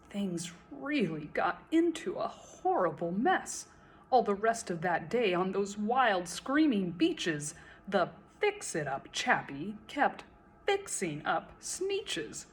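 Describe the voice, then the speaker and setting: A woman reciting a rhyming children's story in an expressive, theatrical way. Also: mid-range voice, slight background noise.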